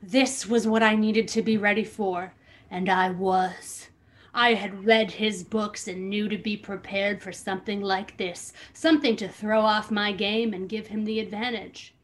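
A woman's voice: mid-range voice, fairly even intonation, a little slow. A woman hosting a podcast, giving a dramatic book reading with casual, amused asides and theatrical emphasis.

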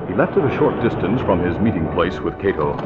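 A man's voice: low-pitched, sing-song, fairly quick. A man narrating a scripted 1940s radio adventure as storyteller. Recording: dull old radio recording. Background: dramatic music.

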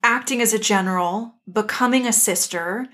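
Woman, fairly high voice, podcast co-host, casual fan chat. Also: moderate pace, a bit bright.

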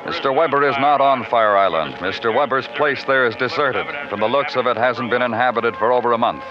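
An actor playing a terse, brooding big-city police detective in a 1950s radio crime drama, clipped and deadpan. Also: male voice, medium pitch, a little fast, lightly acted. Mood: brisk. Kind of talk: 1950s radio crime drama, hard-boiled narration and dialogue. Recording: dull old radio recording with music.

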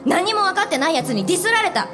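A woman's voice: very high voice, sing-song, rapid-fire, music in the background. A woman acting a fiery, protective senior businesswoman in a live radio drama, with an emphatic, commanding delivery. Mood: vengeful, indignant.

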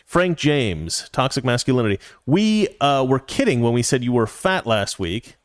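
A man's voice: mid-range voice, sing-song, normal speed, no echo, clean studio sound. A man in his forties hosting a casual livestream, reading viewer comments aloud and talking in a rambling way with many restarts. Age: 40s. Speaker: livestream host reading chat.

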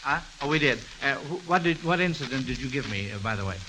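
Bright American man hosting an old radio quiz. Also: mid-range voice, animated, quick pace. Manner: encouraging, repeats children's answers back. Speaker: quiz show host. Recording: hissy, crackly old radio recording.

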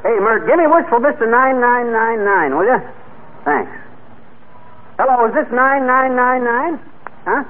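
A man's voice: very high voice, expressive, measured pace, pauses often. A man playing a cocky, stubborn, folksy husband in old-time radio comedy, slangy and full of wisecracks. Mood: folksy, bustling. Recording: tinny, noisy vintage radio recording.

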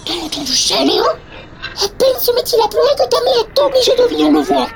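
An actor in an audio horror drama playing a sinister living toy that coaxes a child in a theatrical, wheedling sing-song with drawn-out words. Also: very high voice, sing-song, vocal fry, a loud crowd, exaggerated voice. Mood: agitated, goading. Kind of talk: audio fiction acting.

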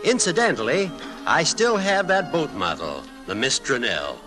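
A man's voice: mid-range voice, huge pitch swings, normal speed. A man with an American accent playing a fond, nostalgic former pupil in a classic radio drama. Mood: fond nostalgia. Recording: old radio drama recording, music behind.

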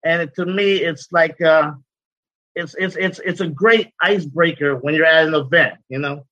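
Man, 40s, interview guest; bright, easygoing, rambling delivery. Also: on the high side, normal speed, no echo, lo-fi sound. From Guyana. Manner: relaxed, repetitive, rambling with many "you know"s. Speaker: cigar business owner, guest. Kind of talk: casual interview talk.

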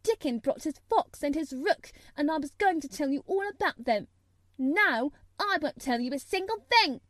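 A woman's voice: high voice, expressive, normal speed, mellow, dry recording, studio quality, voice pushed up. A woman voicing a sour, obstinate young girl who retorts fiercely, as in a storybook reading. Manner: defiant, retorting. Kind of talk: audiobook character voice.

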